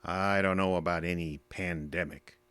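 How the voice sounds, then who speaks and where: An actor with an American accent playing a bitter, defensive middle-aged army veteran in an audio drama, gruff, sardonic and curt. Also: male voice, low-pitched, fairly even intonation, normal speed, a bit bright, no echo. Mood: bitter, argumentative.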